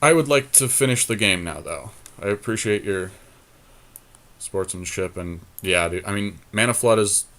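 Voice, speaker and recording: A man's voice: fairly low pitch, normal speed, fairly bright tone. A man co-hosting a podcast, giving casual commentary on a card game as he thinks his moves out aloud. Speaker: podcast co-host playing an online card game.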